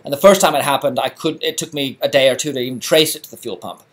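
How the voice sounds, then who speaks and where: Middle-aged man from England, casual live-stream host, relaxed chatty delivery with fillers. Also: medium pitch, fast talker. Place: home garage.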